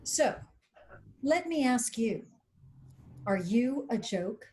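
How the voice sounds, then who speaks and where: A woman's voice: a little high, very animated, slow, a little mellow, a little hiss or hum. A woman playing a shrewd, dryly skeptical studio boss in a screenplay table read. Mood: measured, challenging.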